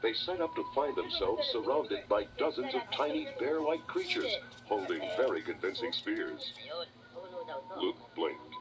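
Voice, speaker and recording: Male narrator, children's read-along cassette: low register, very expressive and dramatic storytelling over a musical score. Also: unhurried, rough recording. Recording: old cassette, music behind.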